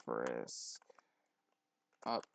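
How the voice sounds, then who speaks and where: A man hosting a casual, unscripted screen tutorial, haltingly repeating words and muttering to himself, recorded on a headphone mic at his neck. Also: a little hiss or hum. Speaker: tutorial host. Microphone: headphone mic held at the neck.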